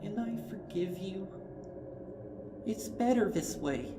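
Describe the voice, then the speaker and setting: A narrator voicing a gentle, frightened female pegasus pony with a shaky, tender voice in a dark story reading. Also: medium pitch, lively intonation, slow, reverberant, noisy background, voice pushed up. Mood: tearful, resigned. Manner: shaky voice, soft pleading.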